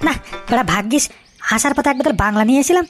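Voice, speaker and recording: A man's voice: very high-pitched, expressive, racing, fairly bright tone, faint music behind. A man voicing a grumpy, foul-mouthed, reluctant young village bridegroom in a comedy cartoon. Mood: self-pitying. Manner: grumbling, coarse, swearing. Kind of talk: Bengali comedy cartoon acting.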